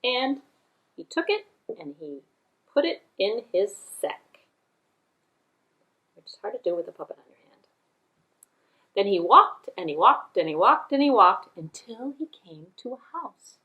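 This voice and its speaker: A woman's voice: medium pitch, big pitch swings, measured pace, long pauses, phone-quality sound. A woman storyteller narrating a puppet show for children, with sing-song, repetitive emphasis.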